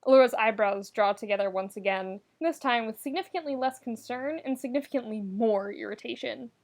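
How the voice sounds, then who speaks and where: A woman narrates a comedic story in a fairly high voice. Her delivery is expressive and wry, shaped like scripted audiobook fiction with a dramatic touch. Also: brisk. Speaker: podfic narrator.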